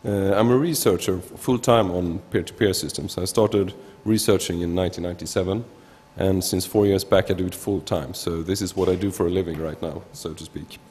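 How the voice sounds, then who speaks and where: A man in his thirties from Sweden, with a Swedish accent, giving an informal technical conference talk in a halting way, often restarting words. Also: sits low, fairly even intonation, darkish tone, breathy edge. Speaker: researcher presenting at a conference. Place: large indoor conference hall, at a lectern. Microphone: gooseneck lectern microphone. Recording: reverberant lecture hall.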